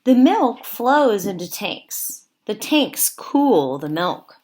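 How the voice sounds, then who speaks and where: A woman teacher reading aloud to young children in simple, deliberate phrasing, pausing to explain as she goes. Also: mid-range voice, sing-song, a little mellow. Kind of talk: read-aloud lesson with explanatory asides.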